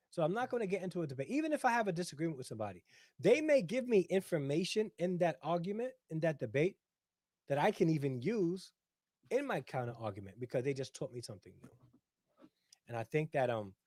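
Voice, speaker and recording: A man's voice: medium pitch, darkish tone, no echo, clean studio sound. A middle-aged man hosting a casual livestream, talking at length, reading out viewer comments and often checking in with "right?". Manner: talkative, repeats himself, says "right?" often. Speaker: livestream host.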